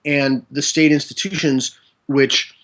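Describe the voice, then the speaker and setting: A man speaking as a guest in a conversation. He talks in a measured way, hedges his claims and reaches for academic words. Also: on the high side, little pitch movement, a bit bright. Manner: measured, hedging, academic wording. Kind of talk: casual conversation.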